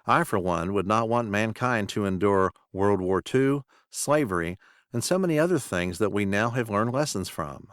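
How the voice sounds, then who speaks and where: A man reading a scripted podcast introduction as host, in a measured, formal announcing manner. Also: on the low side, expressive, dry recording, clean studio sound.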